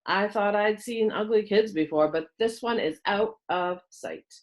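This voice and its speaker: A woman's voice: medium pitch, a little slow, lightly acted. A woman voicing mean, gleeful schoolyard boys in a storybook, jeering with exaggerated disgust. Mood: disgusted mockery.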